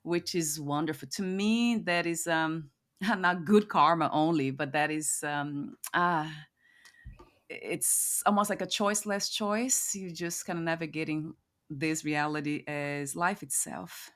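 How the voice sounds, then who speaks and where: A woman's voice: low voice, measured pace, fairly bright tone. A woman hosting a reflective conversational interview, musing aloud and often searching for her words. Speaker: podcast host interviewing a guest.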